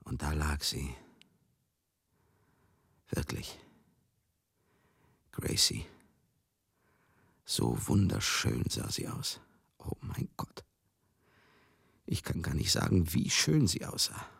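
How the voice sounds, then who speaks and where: An actor in a radio drama monologue, playing a garrulous, hard-drinking older showman who grieves as he recalls a lost friend, husky, halting and confiding. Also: rumbling low, lively intonation, fairly bright tone, no echo, studio quality, exaggerated voice. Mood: grieving, shaken. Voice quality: husky, gravelly. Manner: halting, rambling, confiding, asks listeners questions.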